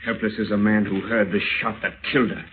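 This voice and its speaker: An actor playing a vengeful, educated husband in an old-time radio thriller, well-spoken, deliberate and theatrical. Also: male voice, on the low side, steady tone, natural voice. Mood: cold menace. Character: vengeful husband, educated lawyer, coolly menacing and obsessed. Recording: scratchy, tinny old radio recording.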